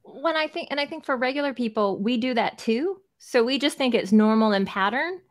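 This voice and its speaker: A woman's voice: fairly high pitch, lively intonation, brisk. A young woman co-hosting over a video call from home, talking in long rambling sentences full of "like" and "you know". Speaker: co-host, podcaster. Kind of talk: casual interview chat. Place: home room. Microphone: desk mic on a video call.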